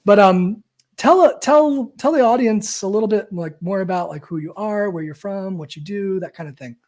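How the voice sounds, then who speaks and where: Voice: mid-range voice, moderate pace, darkish tone. A coach hosts a live online session and speaks casually to the viewers and a guest. The speech rambles, circles back on itself, and leans on fillers. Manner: chatty and rambling, with many fillers and self-corrections. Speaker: coach and host. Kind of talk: live-stream coaching talk.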